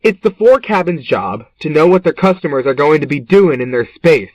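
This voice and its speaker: Man playing high-voiced, frantic kitchen worker. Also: lively intonation, moderate pace, dry recording, rough recording. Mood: accusing. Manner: pleading, accusatory, agitated. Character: frantic, defensive male kitchen worker under suspicion. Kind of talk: audio drama acting.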